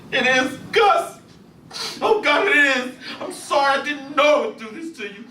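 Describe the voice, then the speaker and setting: A man putting on a pleading, breathy mother. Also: animated, normal speed, heavily acted. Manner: high breathy pleading voice, often hushed. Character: distraught mother, pleading and apologetic. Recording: roomy, distant mic, faint hiss.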